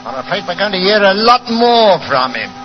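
An actor playing a gruff, deferential English police inspector in a 1940s radio drama, hesitating as he talks. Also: sits high, very animated, normal speed. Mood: businesslike. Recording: dull vintage 1940s radio recording, music.

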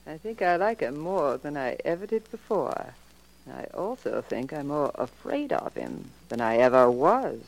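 An actress playing a murderer's anxious, probing wife in a 1940s radio crime drama, questioning with dramatic insistence. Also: female voice, deep voice, sing-song, unhurried, gravelly, exaggerated voice, low-quality recording. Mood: awed, fearful.

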